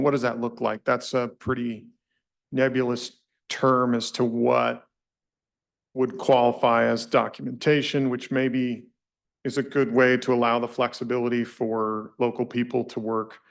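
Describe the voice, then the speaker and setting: A man's voice: medium pitch, gravelly. A man at a public commission meeting, talking through a complicated issue in a thoughtful, hedging way. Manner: thoughtful, self-questioning, hedging. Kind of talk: deliberative public meeting remarks.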